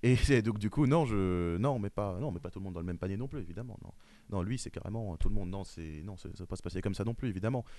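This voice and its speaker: Insistent young male debater, rapid delivery, dry close sound. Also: lowish voice, mellow, no echo. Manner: insistent, rising when challenged. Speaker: debate guest. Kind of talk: heated debate.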